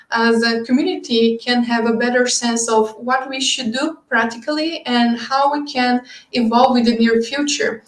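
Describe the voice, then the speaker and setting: Brazilian woman, portuguese accent, earnest presenter voice, a bit high, roomy echo. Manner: earnest, explanatory, with thoughtful pauses. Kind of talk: online conference talk.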